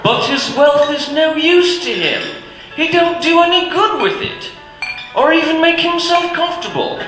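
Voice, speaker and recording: An actor playing a kindly young nephew in an old-time radio drama, speaking good-humoredly. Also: male voice, very high-pitched, animated, unhurried, sharp tone, exaggerated voice. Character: cheerful young nephew Fred, good-natured. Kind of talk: 1940s radio acting. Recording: echoey old radio broadcast with music.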